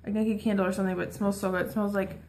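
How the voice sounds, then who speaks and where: A woman's voice: medium pitch, level tone, racing, a little mellow, slight background noise. A young adult woman chatting casually and informally to the camera as a shopping vlogger. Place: small room at home, indoors.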